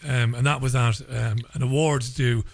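A man's voice: mid-range voice, fairly even intonation, normal speed, gravelly. A man with an Irish accent interviewing a guest, talking hesitantly with many ums. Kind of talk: casual interview talk.

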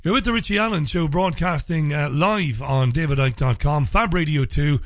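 A man hosting a talk radio show, speaking casually with a slightly croaky, dry voice and frequent fillers and restarts. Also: a little high, normal speed, dry recording.

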